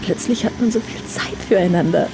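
An actress playing a chatty middle-aged wife of a retiree in conversational audio drama style. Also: female voice, lowish voice, a little fast, natural voice. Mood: chatty, fondly complaining. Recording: noisy street ambience behind.

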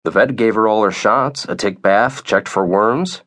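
A man's voice: fairly low pitch, unhurried, fairly bright tone. A man voicing an easygoing, good-hearted dad with a coaxing, reassuring manner in an audiobook.

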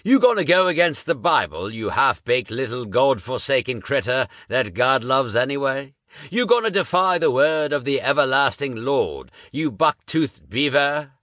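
A man's voice: mid-range voice, very animated, moderate pace, pushed and bright, no room sound, studio quality, voice pushed up. A man voicing a bold, fervent preacher who harangues a monster with sermons and insults, his voice almost singing. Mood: pleading. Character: bold fire-and-brimstone male preacher, booming.

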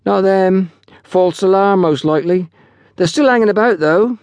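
A man's voice: sits high, moderate pace, a little mellow, clear, resonant tone, no room sound, voice pushed up. A man voicing a young, self-important, sulky railway porter with a colloquial, gossipy manner in a narrated story. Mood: offhand.

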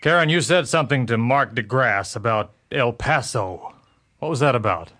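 An actor playing a steady, determined Texas Ranger with a terse, resolute manner in a Western audio drama. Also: male voice, medium pitch, moderate pace. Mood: probing.

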